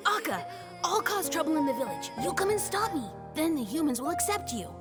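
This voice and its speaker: A gentle, self-sacrificing blue oni from an old tale, as a woman storyteller puts him on, speaking softly from the heart in a high, lilting voice. Game music plays in the background. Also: big pitch swings, brisk. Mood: earnest.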